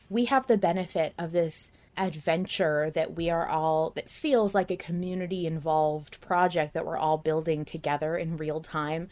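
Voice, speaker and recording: A woman with a fairly low voice talks casually as a podcast host in a fan discussion. She is earnest and emphatic, letting her thoughts run on in long, looping sentences. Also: a little mellow.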